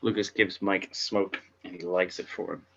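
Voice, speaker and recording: Low bright-voiced guy reading script directions, bit lo-fi. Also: little pitch movement, moderate pace, vocal fry, a little hiss or hum. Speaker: narrator reading stage directions. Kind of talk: scripted narration at table read.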